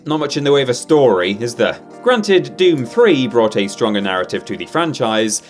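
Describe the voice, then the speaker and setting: A man presents a scripted list countdown with very lively, swooping intonation. His delivery is wry and tongue-in-cheek, set over background music. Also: mid-range voice. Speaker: presenter of a ranked list video.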